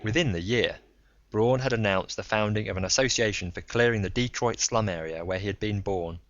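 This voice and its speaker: A man's voice: fairly low pitch, a little fast, no room sound, muffled recording. A man reading a first-person story aloud as scripted audiobook narration. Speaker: audiobook reader.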